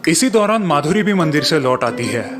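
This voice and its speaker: A man's voice: a little high, very animated, moderate pace, over music. A man reading scripted narration for a cartoon story.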